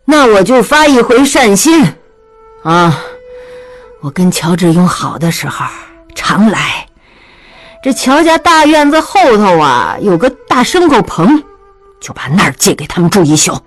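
A woman's voice: mid-range voice, big pitch swings, measured pace, soft background music. A woman voicing a spiteful, self-pitying old widow who wails her grievances. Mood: grudging. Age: older. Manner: whining, wailing complaint.

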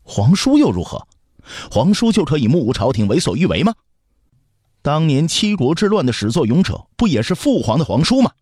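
Boy crown prince, eight, played by a man: raised pitch, proud, formal, headstrong, historical audiobook. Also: sing-song, a little fast, darkish tone, breathy edge, no echo, studio quality. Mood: righteous, indignant. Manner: formal, defiant, declamatory.

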